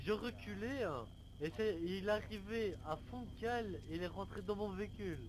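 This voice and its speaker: An indignant male driver with a high, whiny voice played by a man in a game roleplay. He protests insistently and repeats himself. Clear vehicle noise runs behind the game voice chat. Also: moderate pace, lightly acted. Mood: aggrieved. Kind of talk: improvised roleplay in an online game. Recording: noisy game voice chat, engine sounds.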